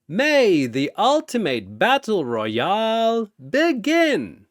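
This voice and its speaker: A man voicing a grandiose many-armed arena announcer creature in a story, with bombastic, drawn-out proclamations. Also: sits high, wildly swooping pitch, no room sound, studio quality, voice pushed up, exaggerated voice. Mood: rousing.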